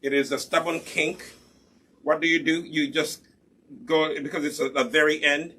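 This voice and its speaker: A man's voice: a little high, fairly even intonation, normal speed, sharp tone, slight background noise. A man from Uganda, patiently demonstrating hair care in a live online lesson and answering his own questions as he goes. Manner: patient and instructive, asks rhetorical questions then answers them. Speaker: hair care instructor and product maker.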